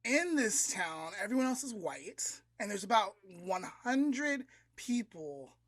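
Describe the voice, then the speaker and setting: A man's voice: high voice, sing-song, takes it slowly, fairly bright tone, dry recording. A man with an American accent co-hosting a podcast, casually telling a story in a conversational, approximate way. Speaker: podcast co-host.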